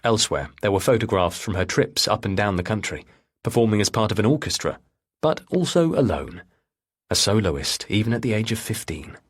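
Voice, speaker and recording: A man narrating a thriller novel with a husky voice and measured, deliberate phrasing. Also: fairly low pitch, expressive. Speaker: audiobook narrator.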